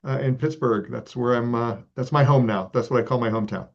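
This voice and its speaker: A man of middle pitch talks through his answers in an earnest, reflective way and keeps filling pauses with ums and uhs. The audio is slightly muffled, with a lo-fi edge. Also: creaky. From Texas. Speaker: arts organization leader, guest. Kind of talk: relaxed interview answers.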